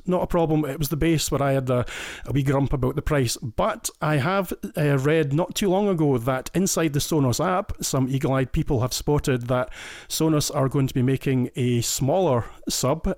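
A man's voice: a little high, no echo, studio quality. A man with a Scottish accent, a listener guest on a podcast, explaining his home audio setup in a chatty, detailed way. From Scotland. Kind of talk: casual explaining.

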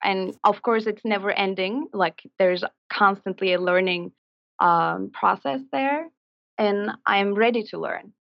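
A woman's voice: medium pitch, gravelly, dry recording. Turkish lady on a podcast, lots of likes and ums, dry dull-sounding audio. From Turkey. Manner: halting, searching for words, many likes and ums. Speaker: podcast guest. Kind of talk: casual interview chat.

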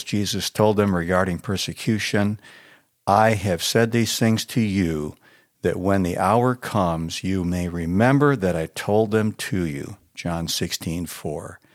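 A man with an American accent, a pastor reading a scripted devotional in an earnest, measured manner. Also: low-pitched.

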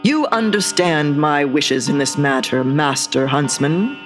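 A woman playing a vain, menacing evil queen in a comic radio play, speaking imperiously and deliberately. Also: low-pitched, animated, music in the background. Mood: cold, commanding. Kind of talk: comic recreation of 1930s radio drama.

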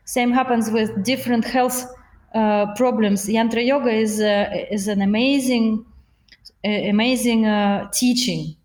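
A woman's voice: fairly high pitch, fairly even intonation, measured pace, a little mellow, echoey room. A woman with a Russian accent, a voice coach talking earnestly in an interview and laughing often. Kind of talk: podcast interview.

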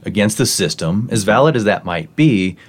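A man hosting a podcast interview, thoughtfully paraphrasing his guest in a measured way. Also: lowish voice, lively intonation. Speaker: podcast host. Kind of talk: interview podcast, calm reflective.

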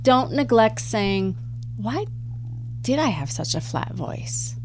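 A woman's voice: fairly high pitch, big pitch swings, slow, a little hiss or hum. A woman co-hosting a casual advice podcast, speaking as a therapist in an emphatic, questioning, challenging way.

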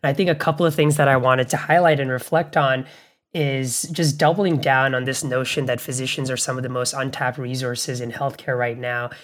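A man from Bangladesh co-hosting a podcast interview, speaking in a reflective, measured way with frequent restarts. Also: medium pitch, steady tone, a bit bright. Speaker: podcast co-host. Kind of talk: conversational interview.

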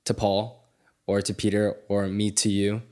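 A man's voice: on the low side, level tone, a little slow, mellow. A man hosting a faith podcast, reflective and affirming, with frequent "mm-hmm"s. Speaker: podcast host. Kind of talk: casual faith conversation.

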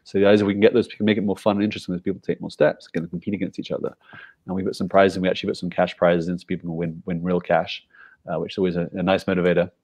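Fast-talking low-voiced British man. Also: flat delivery, a little mellow, husky, no echo. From Britain. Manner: explains eagerly with rhetorical "right?" checks. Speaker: startup founder. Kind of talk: casual video call interview.

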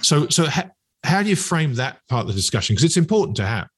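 A man's voice: fairly high pitch, big pitch swings, fast, fairly bright tone, slightly rough. A man hosting a conversational podcast interview, reflectively building up long questions.

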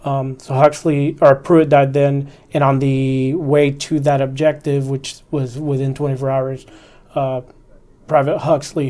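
A man's voice: mid-range voice, warm tone. A man in his forties, an army officer giving an interview, talking earnestly and instructively about the lessons he has learned. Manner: earnest, instructive, stresses fundamentals. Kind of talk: reflective interview talk.

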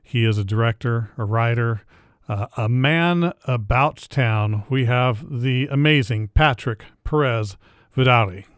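A man's voice: mid-range voice, measured pace, no room sound, studio quality. A man hosting a podcast interview, chatty and curious, often restarting his phrases. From Indiana area. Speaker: podcast host. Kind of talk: casual interview.

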